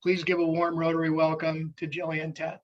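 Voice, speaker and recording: A man's voice: on the high side, monotone, brisk. A man reading a formal scripted introduction of a guest speaker for a club meeting held over a video call. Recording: dull lo-fi audio.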